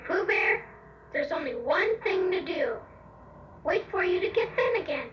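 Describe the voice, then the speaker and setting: A woman's voice: very high voice, big pitch swings, unhurried, a little hiss or hum, exaggerated voice. A woman voicing a kind, encouraging young boy for a children's storybook tape. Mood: sympathetic. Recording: dull roomy cassette, distant, music behind.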